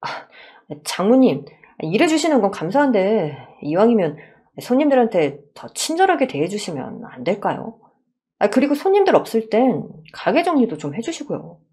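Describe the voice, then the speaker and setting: A woman's voice: fairly high pitch, sing-song, moderate pace, dark tone, breathy edge. A woman voicing a meek, earnest young son-in-law in a story drama, polite and hesitant. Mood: pleading.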